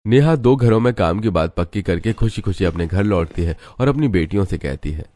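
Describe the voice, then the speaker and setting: Very deep-voiced male story narrator. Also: animated, fairly quick, mellow. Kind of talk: scripted Hindi moral story narration.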